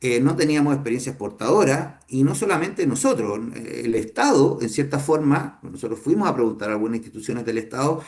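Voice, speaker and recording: A middle-aged Chilean businessman teaching a class, speaking Spanish with a Chilean accent and often pausing with fillers and restarts. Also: medium pitch, expressive, darkish tone. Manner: unhurried, thinking aloud with many fillers and restarts. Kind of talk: lecture to students.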